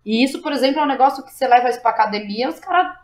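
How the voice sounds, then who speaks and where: A woman's voice: sits high, racing. Brazilian woman, informal and slangy. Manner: informal and slangy, with frequent check-in tags. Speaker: interview guest, playwright, director and drama teacher. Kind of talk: casual, animated interview talk.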